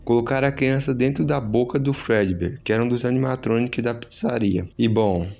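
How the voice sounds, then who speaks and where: A man's voice: medium pitch, moderate pace, gravelly, darkish tone. A man with a Brazilian accent narrating horror story recaps in an informal, explanatory, conversational way. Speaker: YouTube narrator.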